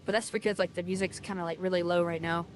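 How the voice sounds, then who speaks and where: A woman talking through her game as a streamer, rambling and filling her talk with "like" and restarts, with a loud voice. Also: lowish voice, steady tone, quick pace, soft background music. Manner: rambling, lots of fillers and restarts. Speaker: gaming streamer. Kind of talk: casual game commentary.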